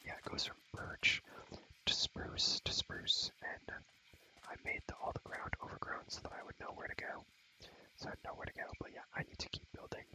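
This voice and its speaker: A young man whispering rambling ASMR commentary on a game in a breathy voice, repeating phrases over and over with playful, slangy asides. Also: pushed and bright, low-quality recording. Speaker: ASMR gaming creator.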